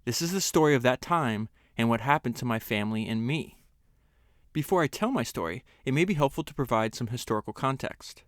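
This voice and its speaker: Smooth-voiced man reading, earnest and measured. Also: mid-range voice, lively intonation, no room sound, clean studio sound. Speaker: podcast host. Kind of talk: reading a book excerpt aloud and commenting on it.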